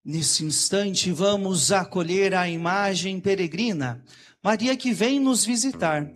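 A man's voice: sits high, slow pace, faint music behind. A Brazilian man, a visiting priest, opening a Mass with formal liturgical phrasing and a short homily. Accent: Brazilian Portuguese.

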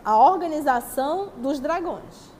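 A woman's voice: sits high, expressive, measured pace, darkish tone. A woman with a Brazilian Portuguese accent giving a spiritist study talk, reading passages and answering her own rhetorical questions in a teacherly way. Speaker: spiritist lecturer. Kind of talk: religious study talk, reading and commenting on texts. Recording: long hall reverb, faint background noise.

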